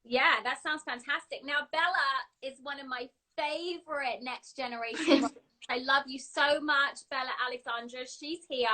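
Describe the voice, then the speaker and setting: Give me an effusive woman hosting a showbiz interview, with a bright, high voice. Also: fairly even intonation, normal speed, rough recording. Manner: effusive, gushing praise. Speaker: showbiz show host.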